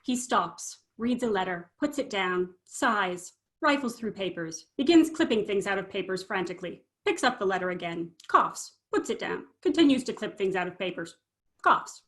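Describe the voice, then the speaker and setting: Woman reading stage directions for a play, medium pitch, plain scripted narration. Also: expressive, moderate pace, a bit bright.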